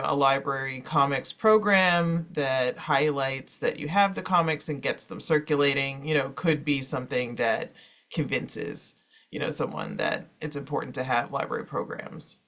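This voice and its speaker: A man's voice: mid-range voice, fairly bright tone. A man moderating an informal panel presentation, talking in a discursive way peppered with "you know".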